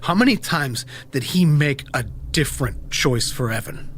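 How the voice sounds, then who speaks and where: An actor playing a grieving, disillusioned former preacher turned monster hunter in an audio drama, brooding and intense. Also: male voice, medium pitch, slight background noise, lightly acted. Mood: haunted, heavy. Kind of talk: audio drama acting, confessional monologue.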